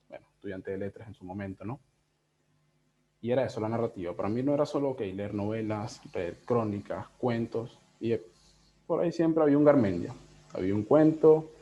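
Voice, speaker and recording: A Venezuelan man with a soft, mellow voice talks reflectively in a casual interview. He wanders through his thoughts haltingly, checking in as he goes. The sound is a slightly dull, lo-fi video call. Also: mid-range voice. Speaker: interviewed book editor.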